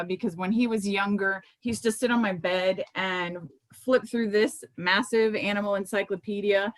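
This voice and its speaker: A woman's voice: medium pitch, a bit bright, dry recording. A middle-aged American woman speaking in a conversational, informal way, as an author presenting her books. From Miami, United States.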